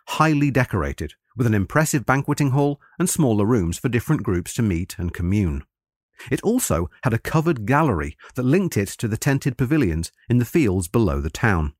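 A man delivers scripted historical narration in a formal, articulate style. His mid-pitched voice moves expressively up and down while he keeps a measured manner, and the recording is dry and close. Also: no echo.

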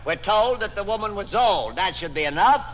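A man's voice: sits high, expressive, brisk, a bit bright. A man playing a sarcastic, long-suffering quizmaster in an old-time radio comedy quiz, sardonic and exasperated. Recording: noisy old radio broadcast, echoey hall.

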